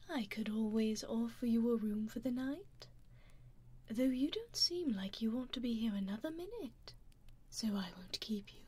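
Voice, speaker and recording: A woman with a British accent voicing a teasing aristocratic female vampire in an ASMR roleplay, whispering softly and slyly into a binaural mic. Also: fairly high pitch, slight background noise, lightly acted. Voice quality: soft whisper, sly and mocking.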